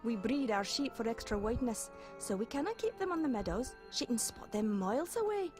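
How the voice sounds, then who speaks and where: A woman's voice: fairly high pitch, expressive, moderate pace, a little mellow, soft background music, close to an everyday voice. A woman playing an earnest, kindly young shepherd leader in a video game, with solemn, formal storytelling diction. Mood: grave.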